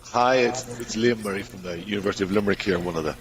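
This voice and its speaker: Low-voiced man on a video call. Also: quick pace, slight background noise, rough recording. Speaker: chapter author. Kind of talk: casual self-introduction.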